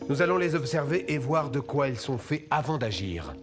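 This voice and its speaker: Man doing a calm wise cartoon chief, patient n authoritative, medium pitch, music behind. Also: moderate pace, lightly acted. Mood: calm, firm. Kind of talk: French animated film dubbing.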